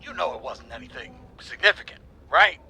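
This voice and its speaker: An actor playing an uneasy police boss in a video game who coaxes like a buddy, hesitant and evasive. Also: male voice, fairly high pitch, expressive, brisk, pushed and bright, soft background music. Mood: evasive, insistent.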